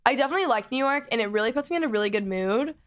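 Brief: young female student host, a bit higher pitch, rambly, lots of "like" fillers, casual chat. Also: lively intonation, racing. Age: young adult. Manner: rambling, heavy filler "like", restarts often. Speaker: podcast host.

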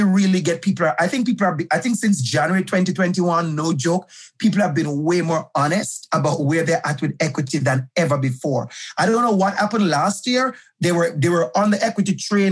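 Male guest educator, middle-aged, husky and emphatic, conversational interview delivery. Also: fairly high pitch. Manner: passionate, emphatic, repeats key phrases.

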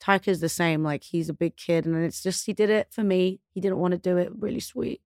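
A woman's voice: lowish voice, fairly even intonation, brisk, darkish tone, dry recording, clean studio sound. A woman chatting as a guest in an interview, rambling and saying "like" often. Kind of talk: casual chat.

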